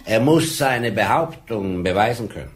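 An actor playing a gruff, blunt older police sergeant with a dry wit. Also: male voice, on the low side, animated, moderate pace, natural voice. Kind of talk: 1980s radio drama acting.

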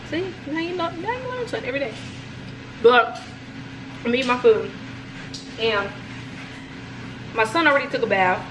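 A woman's voice: high voice, lively intonation, normal speed, pauses often. A young woman talking casually to her camera at home as a vlogger, chatty and rambling, often calling her viewers "y'all". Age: young adult. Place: home room indoors, bathroom later. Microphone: phone or camera propped low, close. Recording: echoey room with noise.

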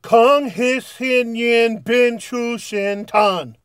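A man playing an old, earnest Santa Claus, hearty and deliberate as he records greetings in a comedy sketch. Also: very high voice, clear, resonant tone, exaggerated voice. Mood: strained effort. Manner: jolly, hearty, deliberate delivery. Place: small dead recording studio booth. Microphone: studio condenser microphone, close.

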